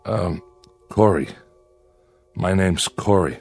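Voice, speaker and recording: An actor playing a lonely, prickly exiled man, gruff and sardonic, in a science fiction audio drama. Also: low-pitched, slow, a little mellow, faint music behind, full character voice. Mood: wary. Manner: gruff, wry, guarded.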